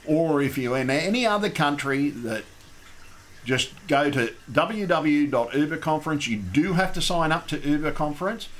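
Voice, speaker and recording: A man's voice: a little high, lively intonation, moderate pace, a bit bright. A man with an Australian accent hosting a casual call-in show, rambling and chatty with lots of ums and restarts.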